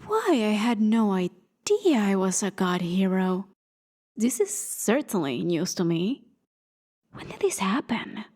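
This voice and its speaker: A woman's voice: mid-range voice, sing-song, slow, darkish tone. A woman playing an exasperated, sarcastic gambling deity who scolds in a theatrical way, in a fantasy ASMR roleplay. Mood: mocking.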